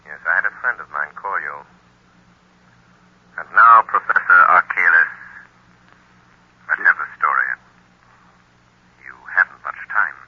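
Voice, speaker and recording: An actor playing a mysterious, commanding crime-fighter with a husky, deliberate delivery in an old-time radio drama. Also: male voice, sits low. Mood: urgent, authoritative. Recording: muffled lo-fi old radio recording.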